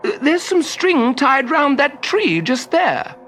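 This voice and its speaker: A crotchety, wily old wizard from a children's storybook. His voice sits high and swings widely as he grumbles and wheedles. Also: big pitch swings, slow, a bit bright, faint music behind, exaggerated voice. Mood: sly, gasping. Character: grumpy, cunning old male wizard.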